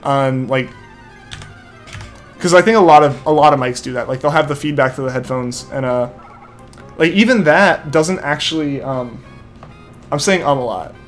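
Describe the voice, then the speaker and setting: A man's voice: medium pitch, moderate pace, pauses often, soft background music. A young man streaming a game, chatting casually and reading out viewer comments, his speech full of "like" and trailing restarts. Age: young adult. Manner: rambling, filler-heavy, keeps saying like. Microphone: headset mic feeding back to headphones.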